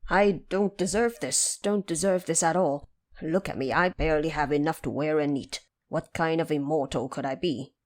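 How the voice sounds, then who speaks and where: A woman's voice: low voice, normal speed, darkish tone, lightly acted. A woman voicing a humble male woodcutter in an audio drama, self-effacing and plain-spoken.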